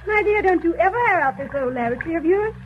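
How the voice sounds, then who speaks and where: High-voiced, nagging, fond wife. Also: female voice, animated, fast talker. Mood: chiding, fond. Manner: chiding, theatrical. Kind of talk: 1930s radio acting. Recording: tinny 1930s radio recording.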